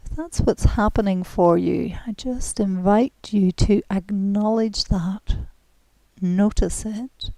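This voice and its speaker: A woman guides a relaxation session at a very slow, unhurried pace. Her voice is mellow and soft, turning breathy as phrases trail off. She is gentle and soothing, recorded close and dry. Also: mid-range voice, dry recording, rough recording. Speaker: guided relaxation and yoga instructor. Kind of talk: guided relaxation, meditative instruction.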